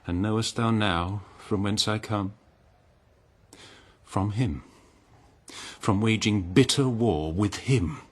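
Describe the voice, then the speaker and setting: A man's voice: on the low side, takes it slowly, long pauses, husky. An actor playing a stern, sorrowful, betrayed king in a declamatory verse drama. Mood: bitter, grieving. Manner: grave, declamatory.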